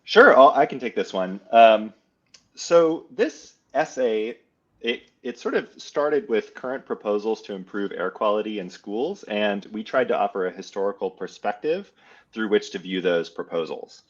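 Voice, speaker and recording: A man, a history professor, explaining his work as a guest in a measured conversational academic style, on dull lo-fi audio. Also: lowish voice, lively intonation.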